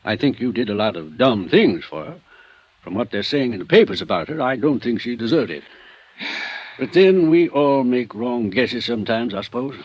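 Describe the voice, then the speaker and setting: A man's voice: mid-range voice, expressive, normal speed, dry recording, natural voice. A man acting a frank, middle-aged small-town lawyer in a 1950s radio drama. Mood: frank, grudging. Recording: dull vintage radio recording.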